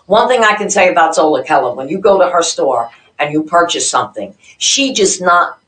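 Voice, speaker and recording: Low-voiced woman host, echoey and distant. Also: expressive, brisk, fairly bright tone. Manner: gushing, enthusiastic praise. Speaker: show host. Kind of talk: recap narration introducing guests.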